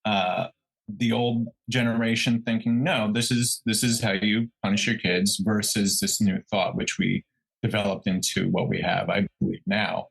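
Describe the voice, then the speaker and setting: Halting, slightly creaky low-voiced man. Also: flat delivery, poor audio. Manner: halting, tentative, searching for words. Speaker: podcast co-host. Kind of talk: casual true crime discussion.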